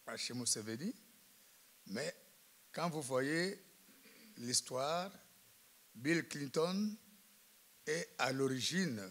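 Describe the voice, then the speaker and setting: A man commenting from the audience at a public debate, emphatic and deliberate, speaking in broken phrases. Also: medium pitch, a bit bright.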